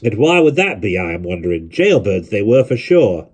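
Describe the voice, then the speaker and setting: Male narrator as burly Welsh innkeeper, lilting and firm, husky tone. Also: on the low side, big pitch swings, moderate pace, a little mellow, voice pushed up. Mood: thoughtful. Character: burly, fair-minded male village innkeeper.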